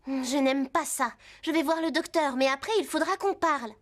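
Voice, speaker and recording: Woman as an earnest high-voiced cartoon girl. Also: moderate pace, lightly acted. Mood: disapproving. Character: earnest, conscientious young girl horse rider. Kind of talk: French cartoon dubbing.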